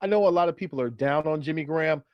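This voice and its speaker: A man with a slightly high-pitched voice talks casually as a sports podcast co-host. He explains his thinking step by step and leans on repetition to make a point. The sound is very dry, with no room to it. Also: rapid-fire, no room sound, highs cut off. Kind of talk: casual fantasy football chat.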